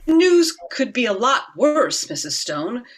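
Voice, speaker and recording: A woman playing a grave, measured doctor in a radio drama. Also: a little high, sing-song. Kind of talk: old-time radio drama acting.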